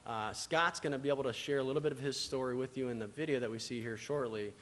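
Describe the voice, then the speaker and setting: A man speaking to a church congregation about his agency's work, pausing often with filler sounds. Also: medium pitch, fairly bright tone. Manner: hesitant, many filler uhs. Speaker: church relations speaker for a social services agency. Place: church sanctuary. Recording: distant mic in a reverberant church.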